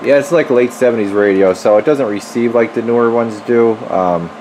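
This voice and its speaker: A man's voice: mid-range voice, normal speed. A man giving a casual, folksy hands-on demonstration as a repair technician, talking informally while he works in an indoor workshop, recorded on a handheld camera. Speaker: radio repair technician presenting his work. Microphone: handheld camera microphone. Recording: steady loud equipment hum and noise.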